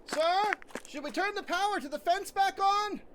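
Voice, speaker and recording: Very high-voiced eager bosun played by a woman. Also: moderate pace. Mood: dutiful. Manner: dutiful, crisp military address. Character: eager, questioning junior ship's bosun. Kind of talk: radio comedy acting. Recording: clear background noise.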